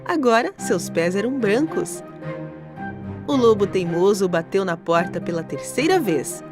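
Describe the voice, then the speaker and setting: A woman narrating a scripted children's storybook in an expressive, dramatic way. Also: mid-range voice, measured pace. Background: music.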